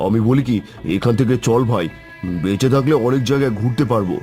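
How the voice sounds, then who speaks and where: A man's voice: medium pitch, fast talker, dark tone, natural voice. Cartoon horror sidekick, man performing: young, cowardly, anxious and pleading, music underneath. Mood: frightened. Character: timid, easily frightened young man, pleading with friend.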